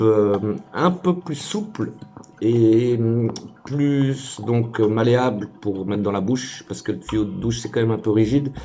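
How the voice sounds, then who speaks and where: A man's voice: medium pitch, darkish tone. A man giving a casual hands-on tutorial, informal and hesitant, thinking aloud as he works. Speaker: DIY tutorial maker.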